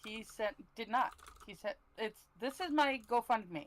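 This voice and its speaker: A woman chatting casually as a livestream panelist, halting and easily flustered as she speaks. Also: a little high. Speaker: panelist on a talk show.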